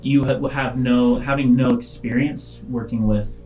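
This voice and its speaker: A man speaks as game master in a medium-pitched voice. He lays out scenes to his players in halting, deliberate second-person storytelling, with music faintly underneath. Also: steady tone, darkish tone, low-quality recording. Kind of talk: narrating a tabletop roleplaying game.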